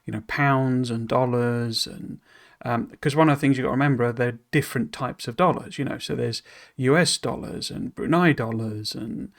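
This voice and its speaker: A man of middle pitch reads a developer blog aloud and breaks off into relaxed explanations. His talk is hesitant and rambling, with many "you know"s, restarts and apologetic stumbles over foreign words. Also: normal speed, vocal fry. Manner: explains in loose asides full of "you know". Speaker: streamer reading a game dev blog. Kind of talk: reading aloud then explaining casually.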